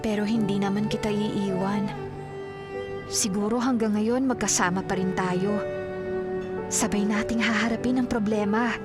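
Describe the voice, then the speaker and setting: A woman's voice: medium pitch, steady tone, normal speed, pauses often, close to an everyday voice. An actress playing a devoted, caring career woman in a Filipino radio drama, speaking earnestly with a Filipino accent. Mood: tender, devoted. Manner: earnest, solicitous. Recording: loud music behind the voice.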